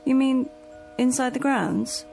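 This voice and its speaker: An actress playing a practical, coaxing, exasperated caregiver to an old lady in a radio drama, brisk and chiding. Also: sits high, creaky, darkish tone, full character voice. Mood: wary, practical. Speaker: companion, caregiver. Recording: radio drama, faint music underneath.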